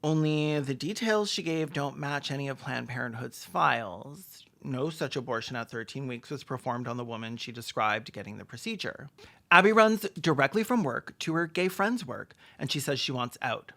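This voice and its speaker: A video essayist delivers sarcastic commentary on a film in a mid-pitched voice. The manner is casual and sweary, like someone picking a story apart for friends. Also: lively intonation, moderate pace, gravelly, a bit bright.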